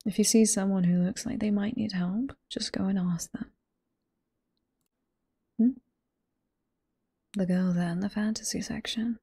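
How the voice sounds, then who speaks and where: Breathy British woman acting a flirty librarian boss. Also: medium pitch, steady tone, measured pace, pauses often, dry recording, studio quality. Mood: playful. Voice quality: breathy, whispery, soft. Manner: playful, coy, teasing. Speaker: voice performer in roleplay. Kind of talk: ASMR roleplay, intimate whispering. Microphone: close microphone.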